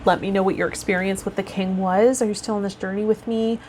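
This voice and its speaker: A woman vlogging casually and reflectively to her viewers, rambling a little and pausing mid-thought, with some guided meditation cues. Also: mid-range voice, fairly even intonation, darkish tone, a little hiss or hum. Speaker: host of a tarot vlog and healer.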